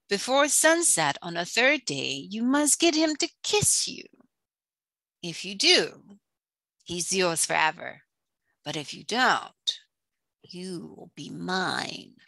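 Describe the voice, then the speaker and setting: A devious storybook sea witch, played by a woman, bargains with teasing menace. She speaks slowly and deliberately in a bright, dry voice. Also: fairly low pitch, very animated, creaky, no echo, full character voice. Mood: menacing bargaining.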